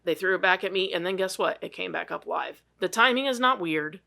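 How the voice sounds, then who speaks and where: A woman's voice: on the low side, expressive, a little fast, creaky, pushed and bright. A woman co-hosting a podcast in casual chat, blunt and swearing freely, laughing as she rants. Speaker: podcast co-host.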